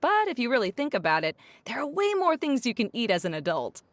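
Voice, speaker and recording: Thoughtful, expressive game character. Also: female voice, a little high, fairly quick, no room sound. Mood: reflective. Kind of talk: video game character acting.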